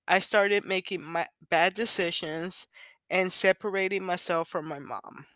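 Woman, thirties, Puerto Rican accent, native Spanish speaker, unhurried pace, dry close sound, candid conversational podcast host. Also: fairly low pitch, fairly bright tone, clear, resonant tone, dry recording. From Puerto Rico. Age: 30s. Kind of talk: personal storytelling, casual solo podcast.

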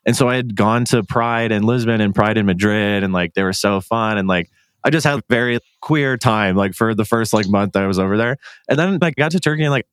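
Male podcast host, lowish voice, casual and gushing chat, very dry sound. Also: fairly quick, clean tone, no room sound. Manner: gushing, informal, frequent 'like'.

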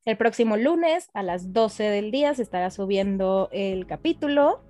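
A young woman, co-hosting a podcast in casual, excitable, gushing fan chat. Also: medium pitch, moderate pace, no room sound, soft background music. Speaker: podcast co-host. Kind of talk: casual chat about racing.

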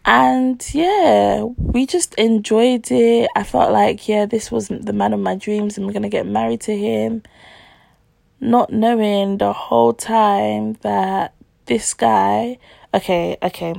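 A woman's voice: medium pitch, slow pace, a little mellow, no room sound. A young woman, telling a personal story casually to her listeners on a podcast. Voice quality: gravelly vocal fry. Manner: chatty, confiding, addresses listeners as guys.

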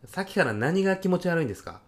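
A man's voice: high voice, sing-song, racing. A man acting as an earnest, overzealous young salesman who moonlights as a food courier in a comic radio drama, speaking in eager, casual-polite salaryman style. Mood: earnest, excited.

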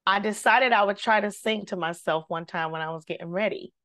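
Animated woman telling a personal story. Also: medium pitch, fairly quick. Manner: animated storyteller, acts out quotes, devout. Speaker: guest; singer. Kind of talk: personal story in casual conversation.

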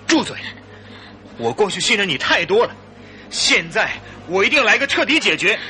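An actor playing a tense, impatient, hot-tempered husband in a radio drama, urgent in manner and very raspy. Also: male voice, sits high, sing-song, normal speed, sharp tone, soft background music, full character voice. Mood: furious.

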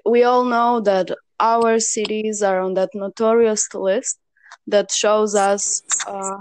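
A woman's voice: medium pitch, no echo. Young woman from Serbia, dry close sound. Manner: hesitant, many fillers and restarts. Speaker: co-host. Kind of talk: casual discussion.

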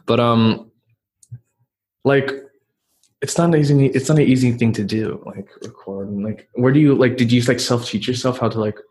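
A man's voice: medium pitch, moderate pace, darkish tone. A man from New York with a New York accent, interviewing in a chummy, rambling way and swearing casually.

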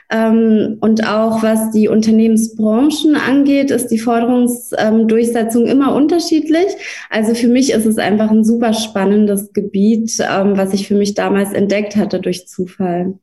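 A woman's voice: fairly high pitch, warm tone. A woman lawyer whose first language is Russian, answering interview questions thoughtfully with frequent hesitations. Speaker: lawyer, guest.

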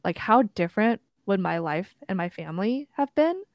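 A woman's voice: mid-range voice, big pitch swings, normal speed, no echo. Reflective young woman, dry close sound. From Korea. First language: Korean. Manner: reflective, thinks aloud with many likes and you-knows. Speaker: podcast co-host. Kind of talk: casual chat.

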